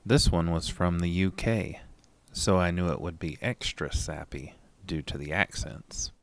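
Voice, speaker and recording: A man playing an anxious, haunted narrator in a first-person horror audio drama, deliberate and suspenseful. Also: sits low, no echo. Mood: easygoing.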